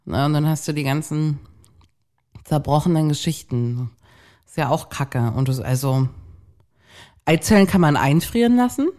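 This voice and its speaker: A woman's voice: deep voice, expressive, moderate pace, long pauses, dark tone. A woman in her thirties co-hosting a casual podcast chat, blunt and outspoken, with casual swearing. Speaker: podcast co-host.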